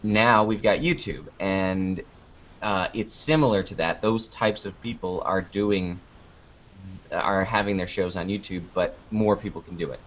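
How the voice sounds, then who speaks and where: Man, lowish voice, casual interview guest, rambling and informal, muffled lo-fi recording. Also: unhurried. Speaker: interview guest, podcast creator. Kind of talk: casual conversational interview answers. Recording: dull lo-fi online call audio.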